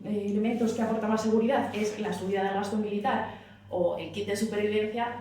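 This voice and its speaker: A woman's voice: mid-range voice, fairly even intonation, fairly quick, mellow. A woman speaking at a political meeting, stumbling over restarts and fillers as she works through her point. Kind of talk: public talk. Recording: roomy echo, distant mic, faint hiss.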